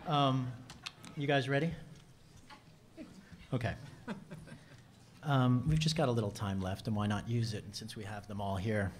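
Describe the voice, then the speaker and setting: A man's voice: mid-range voice, steady tone, a little slow, long pauses, slight background noise. A man moderating a book panel in a conference hall, asking questions with light, joking asides.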